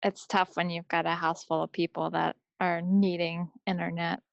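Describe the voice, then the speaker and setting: Female co-host, chatty and informal, with a crackly fry in the voice and a close, dry sound. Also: lowish voice, little pitch movement, moderate pace, dry recording, muffled recording. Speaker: podcast co-host. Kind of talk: casual chat about crafts and home life.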